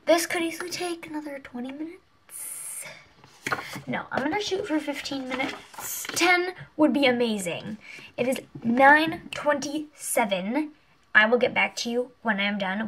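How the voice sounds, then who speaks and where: A woman's voice: sits high, lively intonation, moderate pace, fairly bright tone, breathy edge, low-quality recording. A teenage girl with a stuffy nose, chatting casually and rambling to her webcam in a small bedroom, sometimes dropping to a whisper. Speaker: vlogger. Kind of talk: casual vlog chat. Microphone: webcam on a desk lamp.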